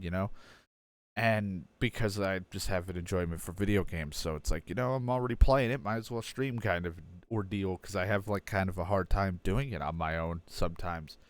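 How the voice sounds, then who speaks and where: Congested young man, rambling and self-deprecating. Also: low voice. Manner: rambling, self-deprecating, many fillers and restarts. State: stuffed-up nose, congested. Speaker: streamer. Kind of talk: candid personal update, casual talk.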